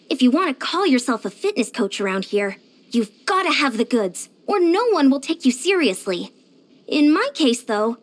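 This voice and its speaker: A woman voicing a short, youthful female fitness coach in a video game, peppy, encouraging and earnest. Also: sits high. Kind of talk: video game character voice lines.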